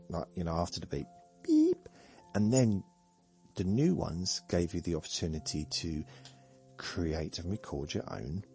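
A man hosting a sleep podcast, talking slowly in a meandering, deadpan, joking way with faint music behind. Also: low-pitched. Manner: slow, meandering, deadpan humor. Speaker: podcast host. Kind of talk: rambling sleepy monologue.

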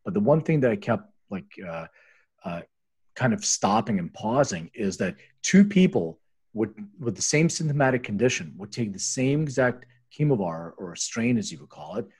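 A man's voice: mid-range voice, very animated, normal speed, vocal fry, a little mellow, slightly rough. A middle-aged American man telling his story conversationally as a podcast guest, in an informal, rambling, explanatory way. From Philadelphia, US, born in Lithuania. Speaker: interview guest, medicinal cannabis company founder.

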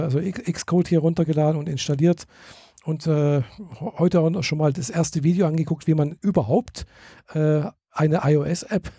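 Dark-voiced, husky man, hesitant. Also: fairly high pitch, dry recording, clean studio sound. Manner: hesitant, many fillers and restarts. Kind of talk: casual chat.

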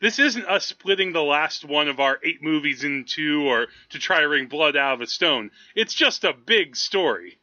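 A man with a very bright, forceful voice co-hosts a casual podcast. His delivery is animated and jokey, and he laughs readily. The audio is slightly dull and lo-fi. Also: fairly high pitch, moderate pace, hardly any pauses. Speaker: podcast co-host. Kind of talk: casual movie chat.

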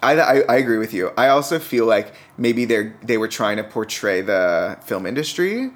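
Man, low voice, casual podcast guest, informal and emphatic delivery. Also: expressive, normal speed. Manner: informal, emphatic, frequent "like" and "right?". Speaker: podcast guest reviewing TV pilots. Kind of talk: casual chat.

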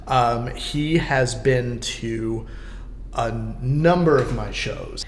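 A man, probably middle-aged, chatting casually and telling anecdotes in an animated, conversational way. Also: medium pitch, drawn-out words, a little hiss or hum. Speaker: podcast guest host, community theater actor.

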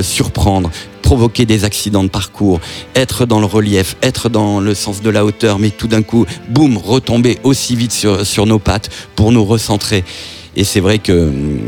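A man with a lowish voice speaks in an interview, pausing often and restarting his phrases. Faint music plays quietly underneath him. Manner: hesitant, many fillers and restarts. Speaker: festival programmer. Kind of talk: interview soundbite.

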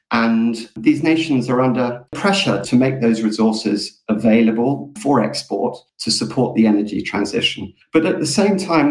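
A low-voiced man hosts an interview, his tone gravelly with a touch of fry. He talks in a measured, articulate and deliberate way, and the room around him rings with a noticeable echo. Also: a little mellow. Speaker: podcast host. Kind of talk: formal interview introduction.

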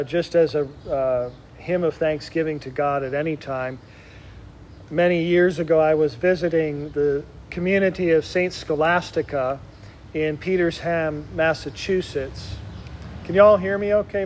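A man's voice: on the high side, a little mellow, slight background noise. A middle-aged man from Wisconsin, a Benedictine monk, giving an informal spiritual conference to oblates, talking conversationally with frequent ums and uhs.

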